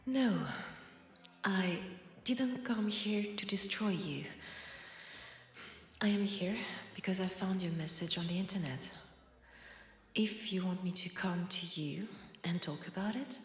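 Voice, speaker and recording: A woman with a French accent voicing a centuries-old, wry and world-weary female vampire in an intimate audio roleplay, softly and breathily. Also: lowish voice, slow, pauses often, heavily acted. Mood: calm, firm. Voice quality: breathy, soft, slipping into whispers.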